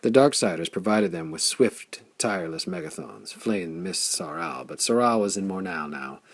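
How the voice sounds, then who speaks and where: Male audiobook reader, gravelly and husky, steady measured storytelling. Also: deep voice. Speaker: audiobook narrator. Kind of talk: scripted audiobook narration.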